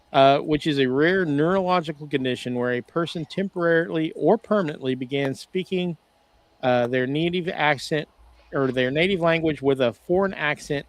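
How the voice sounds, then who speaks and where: A man co-hosting a casual podcast discussion over a video call, talking in a rambling, halting way with lots of "uh" restarts. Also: a little high. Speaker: podcast co-host.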